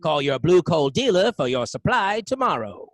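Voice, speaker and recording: Man as high-voiced vintage radio announcer. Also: big pitch swings, moderate pace. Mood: earnest, selling. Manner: formal, emphatic sales pitch. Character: earnest male 1930s radio sponsor announcer. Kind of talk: old-time radio advertising read.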